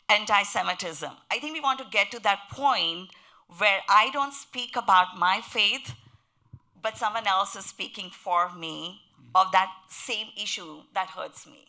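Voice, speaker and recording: A woman with a heavy Indian accent, speaking as a panelist in a discussion, earnest and deliberate, repeating phrases for emphasis. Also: medium pitch, pushed and bright. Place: conference panel before an audience.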